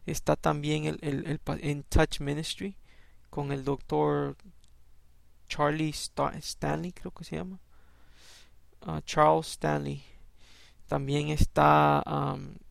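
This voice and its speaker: Guy doing a bible podcast, really gravelly voice, dry close sound, stops and restarts a lot. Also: a little high, no room sound. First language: Spanish. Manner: halting, conversational, many restarts. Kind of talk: informal Bible podcast and scripture reading.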